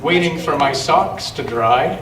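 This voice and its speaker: Young tomcat, anxious and embarrassed, storybook style, read by a man, slow, slightly raised voice, echoey room. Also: a little high, lively intonation. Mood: sheepish. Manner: sighing, muttering. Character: young male cat, anxious, embarrassed, cannot fly. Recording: echoey noisy room, distant mic.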